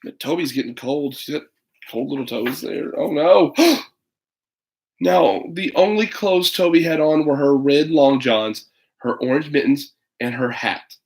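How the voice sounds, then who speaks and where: A man reading a children's picture book aloud in an animated, dramatic way, with chatty asides to a young listener. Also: fairly high pitch, measured pace, rough recording. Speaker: storybook reader.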